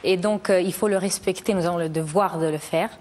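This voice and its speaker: A woman's voice: on the low side, steady tone, brisk, a little mellow. Hesitant woman in her thirties from Italy. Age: 30s. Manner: hesitant, restarts often, earnest. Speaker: guest. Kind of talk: talk show interview.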